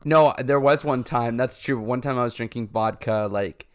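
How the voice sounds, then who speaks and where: A young man co-hosting a podcast in casual chat, upbeat and quick to agree and joke. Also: medium pitch, smooth. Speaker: podcast co-host.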